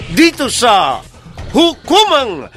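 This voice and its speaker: Filipino male radio-drama announcer: high-pitched, sweeping intonation, declamatory, music behind him. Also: wildly swooping pitch, very slow, a bit bright. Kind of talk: dramatic scripted radio narration.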